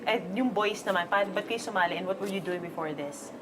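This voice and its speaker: A woman's voice: mid-range voice, fast talker, heavy background noise. A Filipina woman with a Filipino accent, hosting a live show and mixing English with Tagalog in a bubbly, upbeat way. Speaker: host. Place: newsroom studio.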